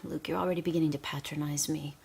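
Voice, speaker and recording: A woman's voice: low voice, steady tone, fast talker, vocal fry, a little mellow, husky, heavily acted. An actress playing a woman who has lost her job and rights, strained and bitter, at times whispering. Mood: distraught. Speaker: narrator and protagonist. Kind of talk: radio drama. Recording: noisy recording with hiss.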